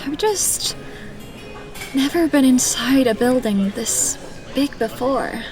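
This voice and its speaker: A woman playing a shy, nervous young woman in a fantasy audio drama, halting and polite. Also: sits high, animated, darkish tone, soft background music. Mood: flustered.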